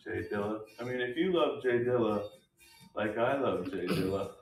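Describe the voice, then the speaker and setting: A man in his thirties hosts a casual product showcase, full of excited, slangy enthusiasm. His voice sits fairly low. The sound of the small indoor room echoes around him. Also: normal speed.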